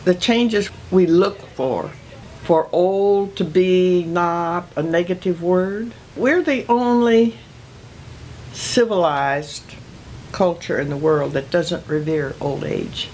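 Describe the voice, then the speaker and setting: An older woman activist speaking in measured, emphatic interview remarks. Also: on the low side, a little mellow, a little hiss or hum, poor audio.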